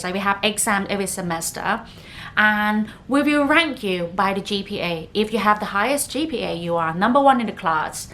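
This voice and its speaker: A woman's voice: medium pitch, a bit bright, a little hiss or hum. A woman in her thirties from northern Thailand, a native Thai speaker who hosts a casual solo podcast in English with a Thai accent and a chatty, filler-heavy way of talking. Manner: chatty, leans on frequent "like" fillers. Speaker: podcast host.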